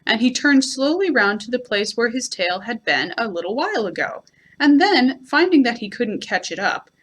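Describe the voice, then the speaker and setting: A woman reads a children's storybook as a storyteller would. Her voice is fairly high and very melodic, rising and falling widely. She phrases deliberately and expressively. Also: sing-song, fairly bright tone. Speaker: library storyteller.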